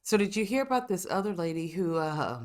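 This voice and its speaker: A woman's voice: on the low side, lively intonation, brisk, darkish tone. A woman hosting a podcast in casual chat, congested with a cough, telling stories with sassy, profane humor and frequent laughs. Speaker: podcast host.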